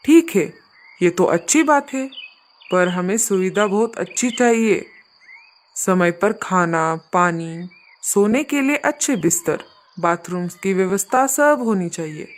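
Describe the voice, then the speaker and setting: A woman's voice: mid-range voice, lively intonation, a little slow, pitched below the performer's own voice, lightly acted. A woman voicing a demanding, businesslike male bird who leads a travelling flock in a children's cartoon story.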